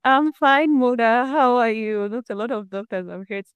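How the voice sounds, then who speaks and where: A young woman from Zambia, a guest scholar telling her story in a lively, conversational way. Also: fairly high pitch, normal speed, clean tone, no echo, muffled recording. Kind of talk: conversational storytelling on a video call.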